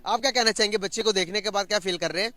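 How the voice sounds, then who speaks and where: A man interviewing people, asking animated, leading questions. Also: high voice, racing, dry recording. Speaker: street interviewer. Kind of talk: reaction interview.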